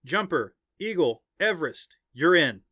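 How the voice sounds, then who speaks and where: Male narrator as female squad leader, audiobook dialogue, brisk and authoritative. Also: fairly high pitch, sing-song, sharp tone, no room sound, studio quality, pitched above the performer's own voice, full character voice. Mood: commanding. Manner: commanding, clipped. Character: stern, brisk female team captain giving orders.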